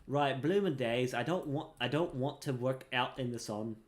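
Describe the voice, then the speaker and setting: A man reads out a gruff working-class tavern keeper from a fantasy game. The talk is folksy and chatty, stumbling now and then, at a middling pitch. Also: moderate pace, natural voice. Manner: folksy, colloquial, stumbles while reading aloud. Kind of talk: reading fantasy game dialogue aloud.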